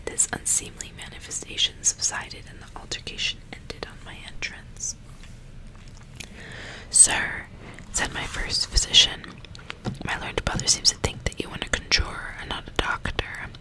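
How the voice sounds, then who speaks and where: ASMR reader, female: fully whispered, breathy, soft and deliberate storytelling. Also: poor audio. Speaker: ASMR host reading a novel aloud. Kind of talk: ASMR, whispered book reading with casual asides.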